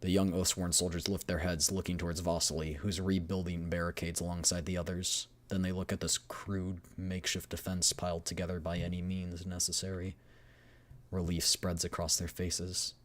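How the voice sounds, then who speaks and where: A man with a deep voice reads a video game story aloud for a stream. He narrates in a measured, expressive storyteller's way, giving the scripted prose dramatic weight.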